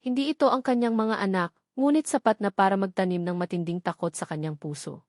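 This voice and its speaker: A woman storyteller delivers a scripted love story in a measured, dramatic way. Her voice sits at a middling pitch. The recording is very dry, with no room around it. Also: darkish tone, no room sound. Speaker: story narrator. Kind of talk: scripted narration of a romance story.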